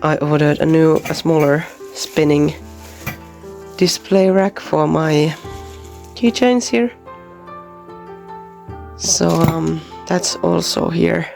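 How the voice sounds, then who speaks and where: Gravelly young man vlogging. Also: on the high side, slow pace, pauses often, darkish tone, soft background music. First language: Finnish. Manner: hesitant, many ums and uhs. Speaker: convention artist vlogger. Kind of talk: casual travel vlog.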